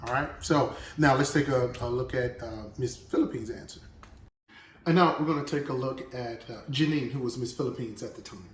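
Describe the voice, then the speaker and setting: A man's voice: mid-range voice, moderate pace, distant mic, noisy background. Chatty man in an echoey room. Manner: chatty, thinking aloud with many restarts. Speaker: reaction video host. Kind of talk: casual reaction commentary.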